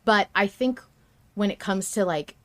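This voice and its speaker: A woman's voice: medium pitch, measured pace, fairly bright tone, no echo. A woman hosting a podcast, talking casually and emphatically as a fan with strong opinions. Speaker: podcast host discussing an audio drama.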